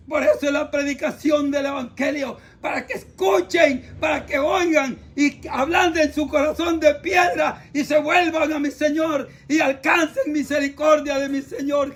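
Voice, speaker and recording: A man preaching an evangelical sermon in an impassioned, emphatic, exhorting manner, often raising his voice. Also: very high voice. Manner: repetitive, emphatic phrasing. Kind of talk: fervent sermon with scripture reading.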